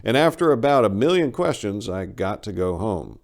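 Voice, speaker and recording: A man giving an expressive, theatrical reading of a first-person short story as its narrator. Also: on the low side, normal speed, gravelly.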